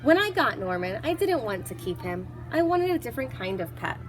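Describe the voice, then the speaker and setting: A woman narrating a children's picture book in an expressive, animated read-aloud manner, acting out dialogue and sounds. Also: a little high, brisk.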